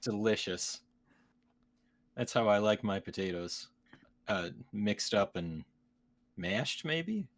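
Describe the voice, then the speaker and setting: A man's voice: lowish voice, very animated, slow pace, long pauses. A man hosting a casual podcast, chatting in a joking, playfully teasing way. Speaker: podcast host. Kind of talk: casual comedic podcast chat.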